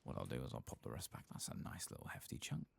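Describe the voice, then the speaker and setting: Very deep, gravelly soft-spoken ASMR man. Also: wildly swooping pitch. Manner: unhurried, murmuring asides to himself. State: tired. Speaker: ASMR gaming streamer. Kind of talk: soft-spoken and whispered ASMR game commentary.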